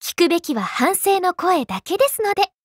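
A woman's voice: very high voice, very animated, fairly quick, dry recording, studio quality. A woman voicing a stern, polite young female teacher and fighter, speaking formal, composed, admonishing battle lines for an anime game.

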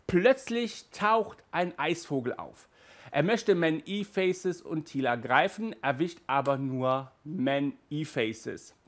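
A man in his thirties hosting a casual video review of an audio drama, lively and gesturing, with frequent stumbles and restarts, hot and flustered by the heat, recorded in a small room on a headset mic. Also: a little high, slow. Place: small indoor room with toy shelves.

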